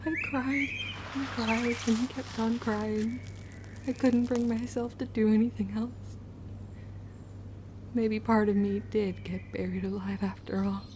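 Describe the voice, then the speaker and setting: A woman's voice: on the high side, steady tone, measured pace, a little mellow, a little hiss or hum, lightly acted. An actress playing an earnest, bookish young witch in a period audio drama, speaking in formal old-fashioned phrases. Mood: sorrowful.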